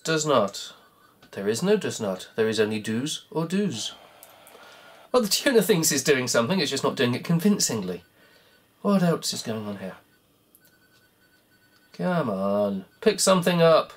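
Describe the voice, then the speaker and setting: A croaky speaker rambles through a self-mocking running commentary while taking apart a cassette player at home. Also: mid-range voice, very animated, a little slow, pauses often. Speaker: hobbyist repair vlogger.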